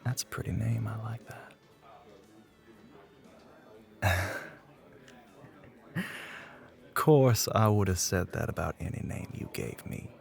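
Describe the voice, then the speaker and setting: A man playing a flirty, middle-aged bartender with a Southern US accent and a low, gravelly voice, teasing in a folksy way in an ASMR roleplay. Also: animated, a little slow, pauses often, faint music behind. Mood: warm, flirty. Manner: drawling folksy terms of endearment, teasing.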